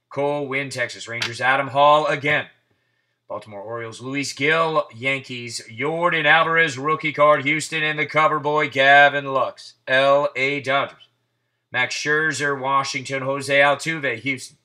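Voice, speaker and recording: Guy doing a live card break, really bright sharp voice, fires off names, a bit hoarse. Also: mid-range voice. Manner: rapid-fire name calling, hyped asides. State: losing his voice. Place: indoors at a table.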